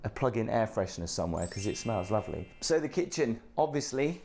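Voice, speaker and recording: A man with a croaky, hoarse voice from the flu, giving an enthusiastic, chatty tour of a hotel room. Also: on the low side, big pitch swings, a little fast, warm tone, faint music behind. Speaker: hotel reviewer.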